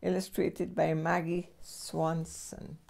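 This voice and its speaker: An older woman reading a children's storybook aloud as a great-grandmother, with expressive storyteller phrasing. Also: on the low side, slow, creaky.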